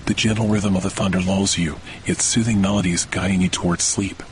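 Male, deep voice, measured and soothing guided-meditation read, soft rain and thunder underneath. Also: fairly even intonation, slight background noise. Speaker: podcast host, meditation guide. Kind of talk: scripted guided sleep meditation. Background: rain and distant thunder.

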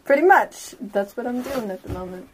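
A woman's voice: fairly high pitch, expressive, normal speed, a little hiss or hum, poor audio. A woman chatting casually as a player in a tabletop role-playing game.

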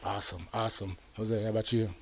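Low, flat-voiced male interview host. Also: fast, a little hiss or hum. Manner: earnest, reflective, many "you know"s. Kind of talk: radio interview conversation.

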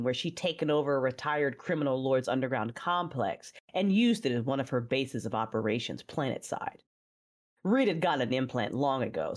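Ruthless dark-side mother narrating her story, low voice, audio drama. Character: hardened, ruthless Sith mother recounting her life. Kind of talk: first-person dramatic narration.

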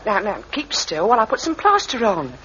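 A woman's voice: medium pitch, huge pitch swings, a little fast, fairly bright tone, slight background noise. An actress playing a tired but kindly young woman of the streets in an old radio crime drama, earnest, on a muffled recording. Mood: tender, flustered. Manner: earnest, plain-spoken.

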